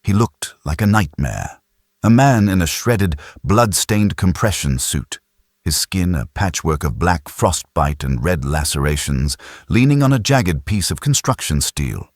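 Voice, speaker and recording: Very deep, husky male audiobook narrator. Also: animated, measured pace, dry recording, studio quality. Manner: grave, suspenseful storytelling. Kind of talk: dramatic scripted sci-fi narration.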